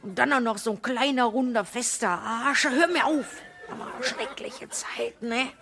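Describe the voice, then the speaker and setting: A woman's voice: a little high, a little slow, gravelly, a crowd in the background. Brash, boastful, laughing woman. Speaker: sketch character.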